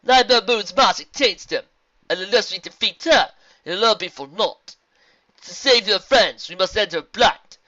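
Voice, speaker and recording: Woman voicing a wise, deliberate zebra sorceress. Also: medium pitch, animated, sharp tone, no room sound, highs cut off, voice pushed up. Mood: solemn. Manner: deliberate, formal rhymed phrasing. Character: wise calm zebra sorceress speaking in rhyme.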